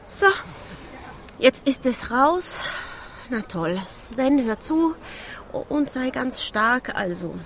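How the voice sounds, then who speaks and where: A woman's voice: high-pitched, expressive, slow, pauses often, slight background noise, full character voice. An actress playing a defensive, embarrassed wife and cleaning woman, earnest and hesitant, in a rhymed radio drama. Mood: confessing. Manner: earnest, hesitant, self-justifying.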